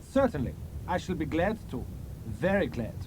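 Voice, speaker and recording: An actor playing a suspicious, coolly polite German officer in an audio drama, questioning in a probing way. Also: male voice, fairly high pitch, sing-song, moderate pace, a little mellow, slight background noise, low-quality recording. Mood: silkily polite.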